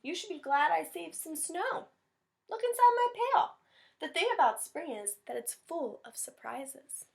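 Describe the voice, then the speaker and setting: A woman's voice: extremely high pitch, big pitch swings, unhurried, vocal fry, voice pushed up, exaggerated voice. A woman voicing a cranky, dramatic male rabbit from a children's picture book, with a complaining manner. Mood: encouraging.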